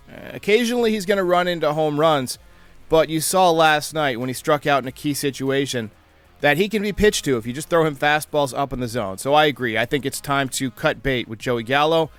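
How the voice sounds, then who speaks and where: A man's voice: fairly high pitch, fairly bright tone, clear, resonant tone, no room sound. A man hosting a baseball fan show, delivering sports commentary and previews. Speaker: host of a baseball fan channel.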